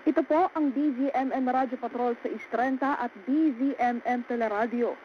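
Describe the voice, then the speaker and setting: A woman's voice: sits high, normal speed. A woman with a Filipino accent anchoring live radio news coverage. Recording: muffled, noisy radio broadcast.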